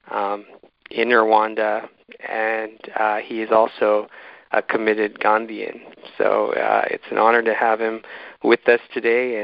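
A man hosting an interview, speaking haltingly and searching for his words, on slightly dull audio. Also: lowish voice, gravelly, no echo. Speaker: interviewer and host introducing a guest. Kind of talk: hosting an online community talk.

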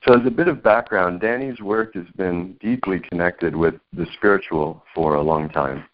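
A man hosting an interview over a video call, speaking in a measured, reflective way. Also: sits low. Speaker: host. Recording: dull lo-fi call audio.

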